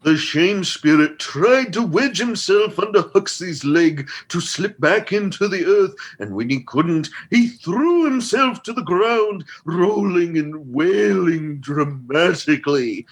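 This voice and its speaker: Narrator: man, fairly high husky voice, animated and unhurried, scripted radio comedy storytelling.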